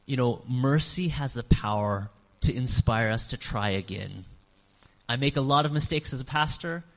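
A middle-aged man preaching a sermon as pastor, earnest, slowing down to stress key phrases. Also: mid-range voice, lively intonation. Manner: earnest, varies emphasis, slows on key phrases. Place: church sanctuary. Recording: long reverb of a church hall.

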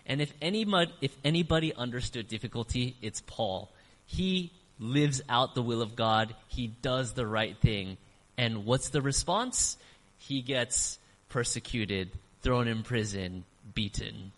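A middle-aged man preaching a sermon as pastor, earnest, slowing down to stress key phrases. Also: mid-range voice. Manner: earnest, varies emphasis, slows on key phrases. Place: church sanctuary. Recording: long reverb of a church hall.

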